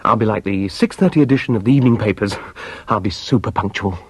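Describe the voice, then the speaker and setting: An actor playing a jovial, blustering newlywed husband in a British farce, with an upper-class English accent. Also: male voice, lowish voice, fast, natural voice. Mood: placating.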